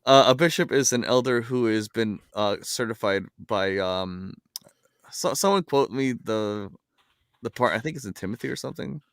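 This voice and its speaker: A man in his thirties explaining things in a casual discussion, halting at times and then rushing ahead. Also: mid-range voice, a bit bright, clean tone, no echo, studio quality. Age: 30s. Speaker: podcast guest.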